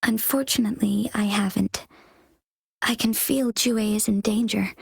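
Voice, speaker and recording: An actress playing a composed young female leader in a video game cutscene, speaking calmly and formally in measured explanations. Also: mid-range voice, creaky, husky, dry recording, full character voice. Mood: earnest.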